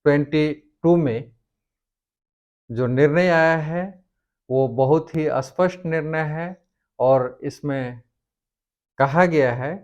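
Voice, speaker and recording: A man giving a formal explanatory lecture on law, speaking deliberately in short, halting phrases as a presenter. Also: medium pitch, a little mellow, muffled recording.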